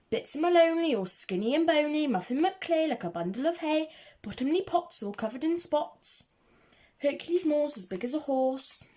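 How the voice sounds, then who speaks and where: A woman's voice: high-pitched, a little mellow. A woman reading a children's picture book aloud, with a rhythmic, singsong delivery of the rhyming verse. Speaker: reader of children's picture books. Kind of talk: storybook read-aloud.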